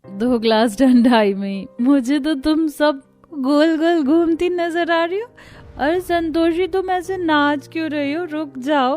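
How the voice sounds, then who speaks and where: A woman acting an expressive, chatty young village daughter-in-law in a cartoon story. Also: sits high. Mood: giddy, tipsy.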